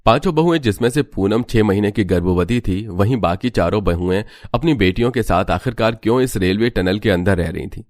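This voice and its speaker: Casting: male narrator for cartoon tales, lowish pitch, dramatic scripted delivery. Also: brisk, hardly any pauses, a little mellow, no room sound, clean studio sound.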